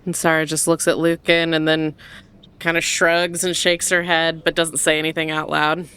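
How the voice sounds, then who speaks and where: Smooth, low-pitched woman playing tabletop. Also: level tone, normal speed, a bit bright. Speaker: player in a tabletop roleplaying game. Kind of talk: casual narration of her character's actions.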